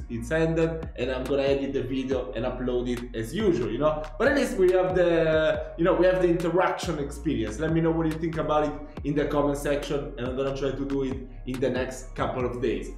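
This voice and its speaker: Italian-accented young man reacting, roomy. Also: on the high side. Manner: laughs often, animated, talks with his hands. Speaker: reaction channel host. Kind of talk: casual reaction commentary. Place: small room at home, indoors.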